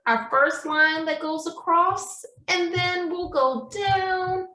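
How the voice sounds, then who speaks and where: A woman's voice: very high voice, slow pace, pushed and bright. A woman leading a children's craft and writing lesson as a preschool teacher, speaking in an encouraging sing-song way and often checking in with questions. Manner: addresses young children, frequent checking questions. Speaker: preschool story time teacher. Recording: roomy echo, distant microphone.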